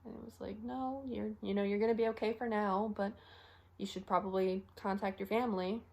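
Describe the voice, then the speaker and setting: A weary young female medical intern, played by a woman in an audition monologue, with a creaky, mid-pitched voice. She talks in a halting, confiding way, frustrated and worn down, in naturalistic stage-monologue style. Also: fairly even intonation, lightly acted. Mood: confiding, strained.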